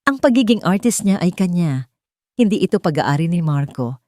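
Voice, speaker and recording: A woman's voice: lowish voice, big pitch swings, normal speed, warm tone, no room sound, clean studio sound. A woman reading a scripted, dramatic love story as its narrator. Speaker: narrator of romance stories.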